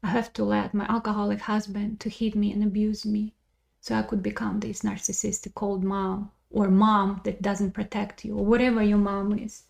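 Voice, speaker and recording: Woman as tearful yielding mom, guided visualization, pained, gravelly, restrained intonation. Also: mid-range voice, little pitch movement, moderate pace, a little mellow, lightly acted. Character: tearful, self-sacrificing mother giving in to her child.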